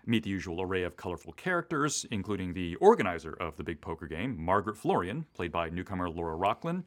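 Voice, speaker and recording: A man's voice: fairly low pitch, a bit bright. A man from the US East Coast talking casually and in detail as a writer being interviewed, in an enthusiastic, digressive way. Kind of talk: casual, detailed behind-the-scenes chat.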